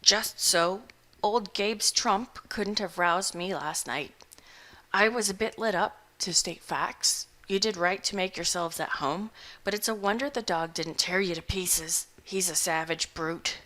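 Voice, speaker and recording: A grizzled old farmer man, put on by a woman reading a storybook, speaking louder than the rest of the reading. He drawls slowly and mournfully in plain country grammar, placid and unhurried, like a gaunt man who has just woken up. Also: medium pitch. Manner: slow rustic drawl, ungrammatical country speech.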